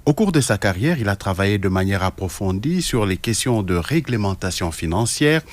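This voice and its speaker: A man's voice: on the low side, lively intonation, moderate pace. A man hosting a radio interview, with frequent hesitations. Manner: hesitant, many filler sounds. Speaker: radio interviewer, show host.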